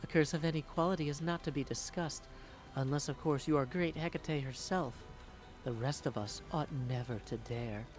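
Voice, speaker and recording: A woman playing a secretive, imperious witch skilled in curses in a video game, speaking formally and haughtily in measured phrases. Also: low-pitched, a little mellow, soft background music, close to an everyday voice. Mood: haughty, guarded. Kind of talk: scripted video game voice acting.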